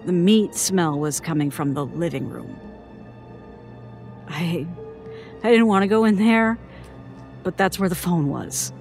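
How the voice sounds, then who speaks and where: An actress playing a frightened woman haltingly recounting a childhood horror in an audio horror drama, sounding haunted. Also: fairly low pitch, darkish tone. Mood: uneasy, haunted. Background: music.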